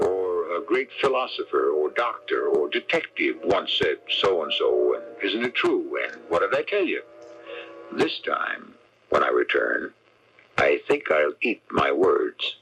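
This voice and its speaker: A man's voice: rumbling low, sing-song, measured pace, bright, forceful tone, lo-fi sound. A man hosting a 1970s radio mystery series with urbane, theatrical scripted narration. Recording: music in 1970s radio broadcast.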